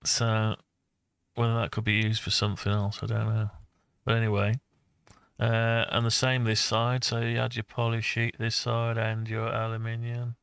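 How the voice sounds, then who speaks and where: A man with a lowish, gravelly voice and a very flat delivery presents a hobby design project. He muses hesitantly as he works through ideas, as if talking to himself. The sound is close and dry. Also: moderate pace, dry recording. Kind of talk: casual screen-share design walkthrough, thinking aloud.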